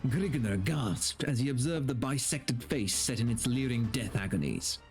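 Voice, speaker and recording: A man's voice: medium pitch, animated, moderate pace. A man streaming, chatting in a rambling, informal way that is loose with swearing, and reading a story aloud. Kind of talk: casual livestream chat and dramatic story reading. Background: background game music.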